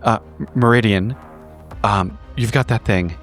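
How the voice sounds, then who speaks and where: Guy playing an excited bossy woman, urgent and stumbling, lowish voice, tabletop horror. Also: measured pace, soft background music. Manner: urgent, stammering, commanding. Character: frantic, excited woman improvising a bold escape plan.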